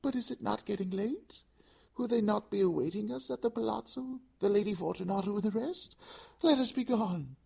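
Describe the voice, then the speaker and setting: A man voicing a drunken, chained nobleman in a gothic audiobook, theatrical and drawn-out, desperate and terrified. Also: high-pitched, creaky, darkish tone, slightly rough, voice pushed up, exaggerated voice. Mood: pleading false cheer. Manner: theatrical, drawn-out, laughing through his words. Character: chained, drunken nobleman, laughing desperately, then begging in terror.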